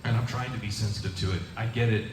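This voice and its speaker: Presenter answering questions, stop-start delivery, recorded far off in a roomy hall with noise. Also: male voice, fairly low pitch. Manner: halting, many restarts, animated bursts. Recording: echoey room, distant mic, noise.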